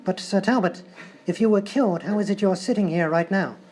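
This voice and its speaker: A man's voice: high-pitched, brisk, a little mellow, natural voice, rough recording. A man playing a polite, bemused talk-show host in a live comedy sketch, hesitant and formal. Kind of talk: live radio-style sketch comedy acting. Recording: live stage, faint noise.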